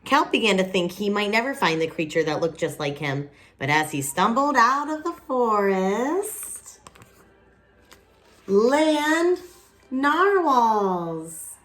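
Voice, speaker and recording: Animated woman reading a children's story. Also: medium pitch. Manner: animated, dramatic pauses, chatty asides to young listeners. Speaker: children's picture book reader. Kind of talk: expressive read-aloud storytelling with casual asides.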